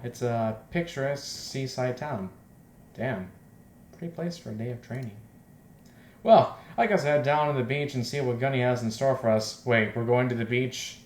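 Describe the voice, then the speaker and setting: A man reading a visual novel aloud as a let's player, breaking in with loud, repetitive joking reactions. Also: mid-range voice. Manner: exclaims loudly and repeats himself in reaction. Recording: roomy echo, faint background noise.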